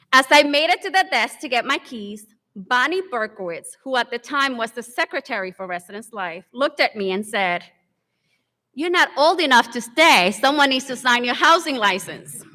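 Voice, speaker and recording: A woman in her forties, from the Dominican Republic, giving an earnest convocation speech with measured, deliberate pauses. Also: a little high, pushed and bright. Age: 40s. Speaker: alumni speaker. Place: large hall. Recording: long hall reverb.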